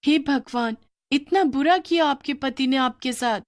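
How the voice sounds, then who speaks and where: A woman voicing a curious, scared young schoolgirl, talking high and excitedly. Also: measured pace, no room sound, clean studio sound. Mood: pleading.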